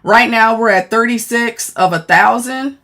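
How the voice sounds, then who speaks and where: A woman with a deep voice chatting casually on a phone livestream, speaking bluntly and thanking viewers as she goes. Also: measured pace, pushed and bright. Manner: blunt, frank, thanks viewers mid-sentence. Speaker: livestreamer and former law enforcement worker. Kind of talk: casual live-stream chat reading comments.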